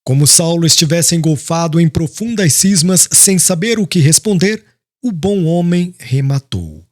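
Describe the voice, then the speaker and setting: A man's voice: on the high side, lively intonation, moderate pace, no echo, studio quality. A man narrating an audiobook in a solemn, literary, dramatic style.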